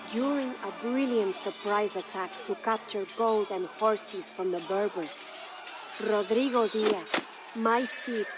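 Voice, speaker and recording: A woman's voice: mid-range voice, lightly acted, poor audio. An actress playing a proud, grieving medieval Spanish noblewoman who narrates her story in a solemn, stately, formal way for a video game. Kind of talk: dramatic scripted storytelling. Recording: studio narration over prominent music.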